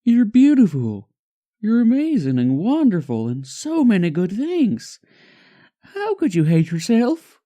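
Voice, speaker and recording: Man acting a dark-toned, devoted young pony husband. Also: sits high, huge pitch swings, measured pace, pitched above the performer's own voice, exaggerated voice. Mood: pleading, loving. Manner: soft, earnest, comforting. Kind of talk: amateur fan-fiction audio drama acting.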